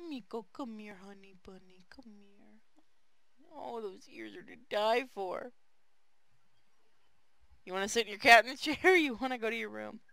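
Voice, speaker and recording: Bright, clear, giggly woman streamer. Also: on the high side, normal speed, pauses often. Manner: giggly, teasing, playful. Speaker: streamer reading a visual novel aloud. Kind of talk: casual game stream chat and read-aloud.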